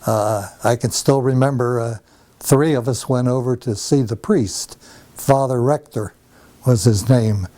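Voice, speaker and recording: An older man from New Jersey with a mellow, warm voice reminisces about his past. He speaks unhurriedly, stopping often to gather his thoughts with small fillers. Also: mid-range voice, unhurried. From New Jersey, USA. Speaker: interviewee. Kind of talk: oral history interview. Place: home.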